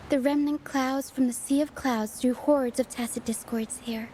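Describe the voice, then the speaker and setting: A woman voicing a gentle, helpful young girl in a video game, soft-spoken and earnest with a playful streak. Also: high voice, steady tone, normal speed, a little hiss or hum, close to an everyday voice. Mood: calm, friendly. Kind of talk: video game cutscene voice acting.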